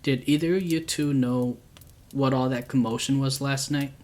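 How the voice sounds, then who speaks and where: An elderly grandmother asking a curious question, as a male narrator reads her lines. The voice stays flat and level, barely shifted from his own. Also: mid-range voice, normal speed, close to an everyday voice.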